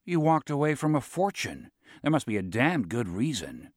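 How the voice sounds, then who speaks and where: Male reader as brash, money-hungry lawyer; blunt, mocking, lively delivery. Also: mid-range voice, moderate pace, no room sound, clean studio sound, voice pushed up. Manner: blunt and needling, swears casually. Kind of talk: audiobook dialogue reading.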